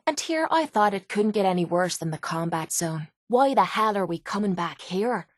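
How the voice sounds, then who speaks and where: A woman's voice: medium pitch, expressive, moderate pace, no room sound, clean studio sound, natural voice. A woman playing a tough, cocky young brawler in a video game, with an Irish accent and a blunt, sardonic manner. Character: tough, brash, foul-mouthed young woman brawler and mercenary. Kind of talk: video game companion dialogue.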